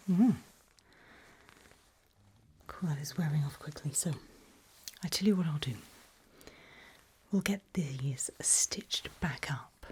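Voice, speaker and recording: A woman whispering gently and reassuringly to a patient in an ASMR medical roleplay, close to the microphone. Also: fairly low pitch, breathy edge. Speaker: ASMR performer playing a back-alley eye surgeon.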